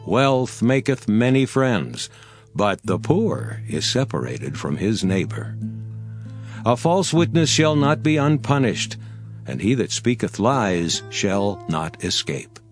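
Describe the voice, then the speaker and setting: Male Bible narrator, unhurried and solemn, with wide-swinging intonation. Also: on the low side, big pitch swings, soft background music. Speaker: scripture reader. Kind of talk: dramatized Bible audiobook reading.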